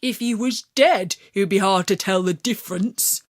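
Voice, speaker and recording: A woman voicing a boastful, excitable hare in a children's story, exclaiming with scorn and heavy emphasis. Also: mid-range voice, moderate pace, no echo, studio quality, natural voice. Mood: scornful.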